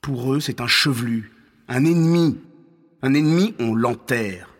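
Husky, insistent Roman counsellor acted by a man, reverberant. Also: medium pitch, unhurried, natural voice. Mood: insistent, indignant. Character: insistent, scheming male counsellor to a Roman ruler. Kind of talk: French audio fiction acting. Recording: long hall reverberation.

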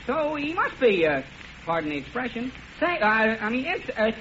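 Jury foreman,, high animated voice, earnest and stammering, vintage radio comedy-drama. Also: normal speed, heavily acted. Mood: earnest, exasperated. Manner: hesitant, stammering, apologetic. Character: flustered, earnest male jury foreman, plain-spoken, comically hesitant. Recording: noisy, muffled vintage radio broadcast.

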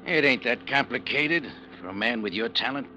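An actor playing a menacing, casually ruthless gangster boss, terse and slangy, in 1940s radio crime style. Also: male voice, medium pitch, lively intonation, normal speed, sharp tone. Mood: cold, threatening. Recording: noisy vintage radio recording.

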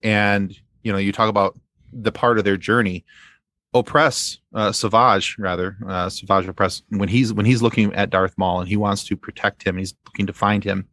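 A man with a fairly low voice talks as a guest on a fan podcast. He works through his thoughts in a halting, rambling way. The sound is dry, with very little room to it. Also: fairly even intonation, fairly bright tone, dry recording. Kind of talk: casual fan discussion.

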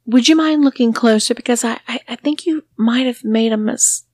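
A frightened, timid young man, as a woman voices him in an audio story, stumbling over his words in a breathy half-whisper. The sound is close and dry. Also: fairly high pitch, normal speed, a little mellow, no room sound, pitched above the performer's own voice, natural voice. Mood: nervous. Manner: nervous, stammering, half-whispered.

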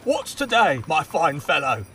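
A man's voice: fairly high pitch, big pitch swings, measured pace, pushed and bright, distant mic, a little hiss or hum, exaggerated voice. A man playing an elated, breathless old miser turned generous in an audio drama, exclaiming with exuberance. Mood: delighted excitement.

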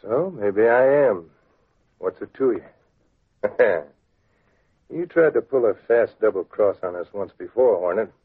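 An actor playing a shifty, defiant small-time crook in an old radio drama, slangy and blustering. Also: male voice, on the low side, animated, a little slow, pauses often, husky. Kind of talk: 1940s radio drama acting. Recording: tinny, scratchy old radio recording.

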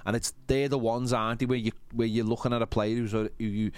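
A man's voice: medium pitch, little pitch movement, fast talker, darkish tone, clear, resonant tone, no room sound. Male sports podcast panellist, conversational, emphatic and rambling, close dry sound. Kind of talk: casual sports chat.

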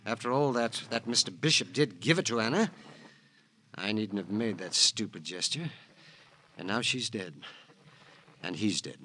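An actor playing a petty, penny-pinching husband in an old radio drama, fussy, indignant and self-pitying. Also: male voice, on the low side, expressive, normal speed, long pauses, bright, forceful tone. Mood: aggrieved, self-pitying. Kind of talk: 1940s-50s radio drama acting. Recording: noisy old radio recording.

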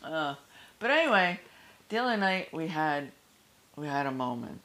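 Giggly woman cohosting a podcast, kinda low voice, playful and bubbly. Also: big pitch swings, moderate pace, fairly bright tone. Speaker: podcast co-host. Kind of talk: casual chat.

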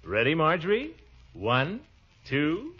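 An actor playing a blustery, fussy middle-aged uncle, pompous and excitable, in 1940s radio comedy style. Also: male voice, fairly high pitch, takes it slowly, exaggerated voice. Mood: bossy. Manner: pompous, excitable, with exclamations and chuckles. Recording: muffled vintage radio recording with music.

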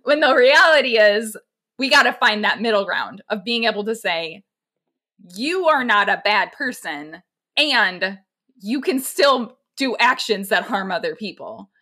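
Bright-voiced woman hosting a self-help podcast. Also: mid-range voice, lively intonation, measured pace. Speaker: podcast host. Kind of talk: conversational self-help discussion.

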